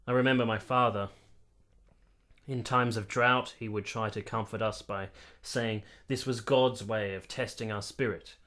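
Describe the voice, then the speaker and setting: A man's voice: on the low side, steady tone, measured pace, a bit bright. An actor playing a sober, disillusioned military man of the 1870s in a radio drama, reflective and formal in his wording. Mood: reflective, bitter. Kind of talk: period radio drama acting and narration.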